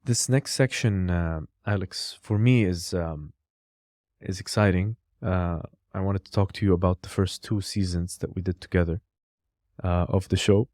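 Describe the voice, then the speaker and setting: A man in a relaxed podcast chat with a deep, mellow voice. His delivery is loose and conversational, full of "like" and "you know", and the sound is dry and close. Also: normal speed, no room sound. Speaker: podcast co-host and co-founder.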